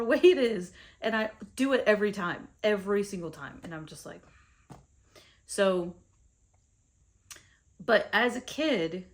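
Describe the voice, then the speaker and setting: Conversational American woman, lowish voice. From India. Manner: conversational, with fillers and asides to the viewer. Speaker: vlogger. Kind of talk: casual personal storytelling to camera.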